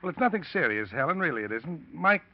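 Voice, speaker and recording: A man's voice: a little high, sing-song, fast talker. An actor playing a scheming man who speaks in falsely soothing, hesitant tones in a 1940s radio drama. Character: scheming man poisoning his partner. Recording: dull old radio recording.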